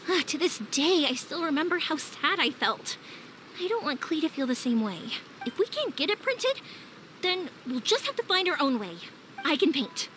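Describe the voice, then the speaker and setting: A woman playing a big-hearted, determined young woman in a video game, with an earnest, expressive delivery. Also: high-pitched, a little hiss or hum, poor audio.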